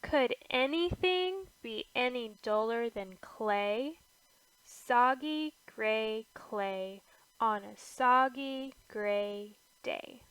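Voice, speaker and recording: A woman reading a rhyming children's picture book aloud, with deliberate phrasing and pauses between short phrases. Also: on the high side, lively intonation, a bit bright, clear, resonant tone, no echo, low-quality recording. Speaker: storybook reader.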